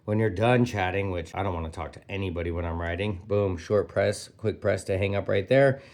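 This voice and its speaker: A man's voice: sits low, fairly quick, darkish tone. A man giving a casual hands-on gadget review as host, speaking enthusiastically in informal slang with punchy interjections.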